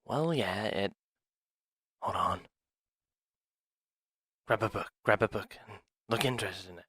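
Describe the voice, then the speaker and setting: A man playing a sly, scheming dragon in an ASMR roleplay, speaking in a hushed, deliberate, confiding manner. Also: lowish voice, slightly rough, no room sound, clean studio sound, exaggerated voice. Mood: urgent, hushed. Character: sly, scheming male dragon plotting a museum heist. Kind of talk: ASMR roleplay audio drama, one side of a dialogue.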